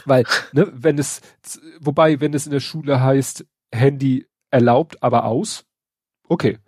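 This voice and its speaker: Ein Mann mit Schnupfen, der in lockerem Plauderton und mit trockenem Sarkasmus über Neuigkeiten spricht, oft mit Füllwörtern. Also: male voice, medium pitch, steady tone, unhurried, a little mellow, husky, no echo, studio quality. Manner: wry, sarcastic, hesitant with many fillers. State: stuffy nose from a cold. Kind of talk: casual news chat.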